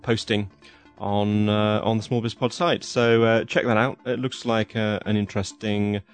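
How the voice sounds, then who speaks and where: A man hosts his podcast with a low-pitched voice. He talks in a relaxed, chatty way, full of little fillers and restarts. Faint music plays underneath. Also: moderate pace, clean tone. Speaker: podcast host. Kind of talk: casual podcast narration.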